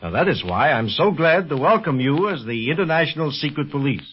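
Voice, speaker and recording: A gracious, dignified doctor from a 1930s radio drama. His voice is slightly husky and moves expressively, with formal phrasing. The recording is dull and aged. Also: on the high side, big pitch swings, a little fast, faint music behind. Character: courteous, dignified male doctor and diplomat, a father. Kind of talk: 1930s radio adventure serial acting.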